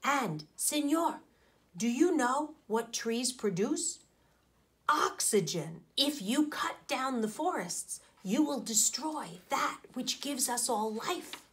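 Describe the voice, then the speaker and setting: Storybook porcupine voiced by a woman: small, earnest, high and hushed. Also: expressive, slow pace. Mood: earnest, urgent.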